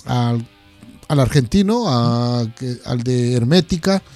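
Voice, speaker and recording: A man chatting casually as a programme host, haltingly and often repeating words. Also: mid-range voice, expressive, darkish tone, no echo, faint music behind. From Ecuador. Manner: hesitant, repeats words as they go. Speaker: host of a heavy metal radio programme. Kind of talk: casual interview chat.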